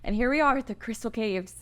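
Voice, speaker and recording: A woman's voice: on the high side, brisk, fairly bright tone, close to an everyday voice. A woman playing a chatty, slightly awkward modern young woman in a screenplay table read. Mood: chatty, sheepish. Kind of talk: casual dialogue in a table read.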